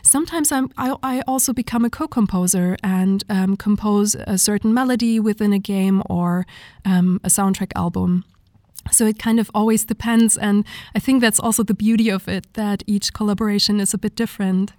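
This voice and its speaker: A woman whose first language is German, with a breathy, mellow voice, talking in a relaxed interview with many fillers and restarts. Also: mid-range voice, moderate pace, dry recording, studio quality. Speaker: guest vocalist. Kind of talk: casual interview talk.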